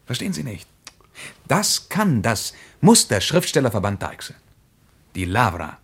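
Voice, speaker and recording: Impassioned man, pitch swinging widely. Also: big pitch swings, measured pace, slightly rough, exaggerated voice. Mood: urgent, exasperated. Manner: impassioned, insistent, emphatic. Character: eager, insistent German traveller longing to see Kiev. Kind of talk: German radio play acting.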